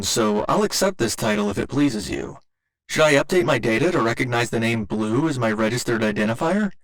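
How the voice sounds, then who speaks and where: Male actor as earnest robot companion, medium pitch, careful formal wording, courteous, naive, ASMR audio drama. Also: fairly bright tone, close to an everyday voice, rough recording. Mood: polite, earnest. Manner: formal, precise, careful wording with occasional glitchy stutters. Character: gentle, polite android boyfriend, earnest and naively literal. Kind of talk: ASMR roleplay.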